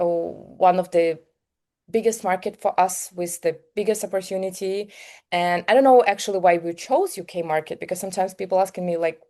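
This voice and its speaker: Casting: female interview guest with a Ukrainian accent, slightly low mellow voice, sincere and a little halting in conversation. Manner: earnest, halting phrasing in non-native English. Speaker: guest, health business founder.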